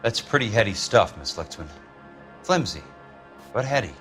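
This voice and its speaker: Blunt American investigator over music. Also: male voice, fairly low pitch, sing-song, moderate pace, fairly bright tone. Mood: wry, probing. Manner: blunt, dry, probing. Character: tough, skeptical American insurance investigator, brusque and sardonic. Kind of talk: film noir video game dialogue.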